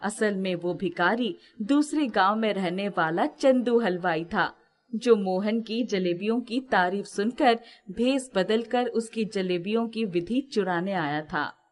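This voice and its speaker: A woman's voice: mid-range voice, measured pace. A woman narrating a scripted moral story as its storyteller.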